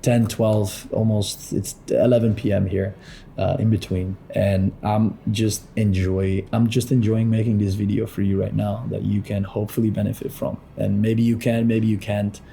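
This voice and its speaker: A man talking through a screen-share tutorial as a business coach in a lowered late-night voice, blunt and pushy, swearing freely. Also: little pitch movement, normal speed, vocal fry, dark tone, slight background noise.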